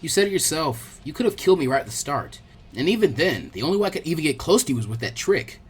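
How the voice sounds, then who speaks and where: A teenage boy ninja trainee, acted out by a narrator, casual and boastful but good-natured. The voice is a bit husky and moves around a lot in pitch, suited to an anime story. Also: big pitch swings, fast talker. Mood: dejected.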